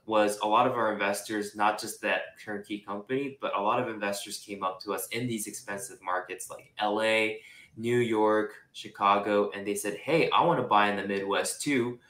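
A young man with a bright, forceful voice sits somewhat low in pitch. He talks in a relaxed, chatty way, full of "like" fillers and easy laughter. The room gives his voice a noticeable echo. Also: normal speed. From Japan, raised in Singapore. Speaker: real estate investor guest. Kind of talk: casual interview.

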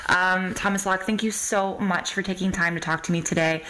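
A woman's voice: on the low side, steady tone, a little fast, bright, forceful tone. A woman hosting a podcast, speaking in a casual, conversational interviewing style. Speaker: podcast host.

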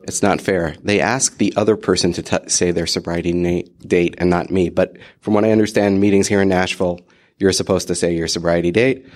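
A man with a very low voice leads a support group session into a handheld mic. He talks haltingly, pausing on fillers as he works through the meeting format. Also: steady tone, a little mellow. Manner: hesitant, many filler ums. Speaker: meeting leader. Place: meeting room. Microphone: handheld microphone passed around.

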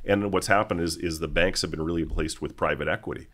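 A man in middle age with a very low voice speaks as an interview guest. He talks deliberately, stopping and restarting his sentences as he works through his points. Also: a bit bright. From Canada, raised in Vancouver. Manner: halting and deliberate, many restarts. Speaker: mining company executive, guest. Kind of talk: interview answers.

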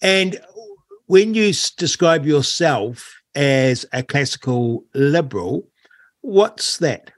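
A man's voice: a little high, expressive, slow pace, no echo. A man hosting an interview, asking curious, probing questions. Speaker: interviewer, host.

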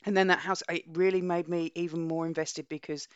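A woman with a husky voice from a cold, co-hosting a casual book chat and often restarting her sentences. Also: on the low side, little pitch movement, a little fast, dry recording. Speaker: podcast co-host. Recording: dull lo-fi audio.

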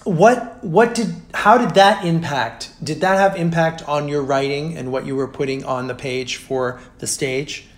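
A man's voice: a little high, unhurried, few pauses. A man who grew up in Brazil interviews a guest in a casual conversation, often affirming with short murmurs and asking rambling, restarted questions. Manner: warm, affirming listener with many backchannels.

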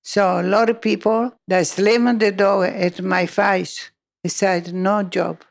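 A woman's voice: medium pitch, fairly even intonation, takes it slowly, creaky. An older woman recounting her working life in an oral history interview, speaking hesitant, broken English.

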